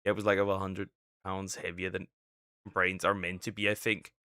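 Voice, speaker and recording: Bright, excitable young man reacting. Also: deep voice, smooth, no echo, studio quality. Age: 20s. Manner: excitable, stammering, quick asides and sarcastic quips. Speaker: streamer reacting to a video. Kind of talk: casual live reaction commentary.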